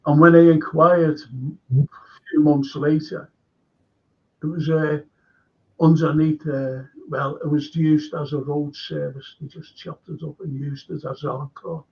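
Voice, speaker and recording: A man's voice: a little high, little pitch movement, a little slow, gravelly. An older man with a Liverpool accent, hosting a radio chat show and telling rambling local history stories. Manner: rambling storyteller, acts out quoted voices. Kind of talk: casual radio chat, reading listener messages. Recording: dull lo-fi sound.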